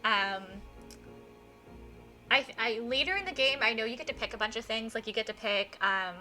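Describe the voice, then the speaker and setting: A woman hosting a live game stream with casual, effusive, excitable chat. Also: on the high side, moderate pace. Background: music.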